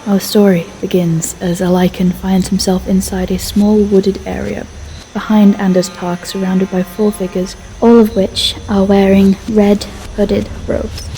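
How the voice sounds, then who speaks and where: A woman's voice: mid-range voice, level tone, a little slow, warm tone, a little hiss or hum, low-quality recording. A woman giving scripted narration for a horror story as its narrator. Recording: music behind the voice.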